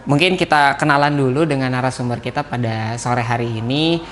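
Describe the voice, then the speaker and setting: A man's voice: medium pitch, a little fast, a bit bright. A man hosting a podcast interview, talking with frequent hesitations and small laughs. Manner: hesitant, many "eh" fillers, laughs often. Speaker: podcast host.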